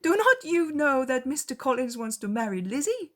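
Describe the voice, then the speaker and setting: A woman voicing a boisterous, uncivil young gentlewoman in a dramatized audiobook, exclaiming indignantly. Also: sits high, big pitch swings, moderate pace, pitched above the performer's own voice. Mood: incredulous, boisterous.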